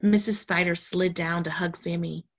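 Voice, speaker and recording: A woman's voice: on the low side, fairly even intonation, normal speed. A woman storytime reader, reading a children's storybook aloud expressively and talking to young children.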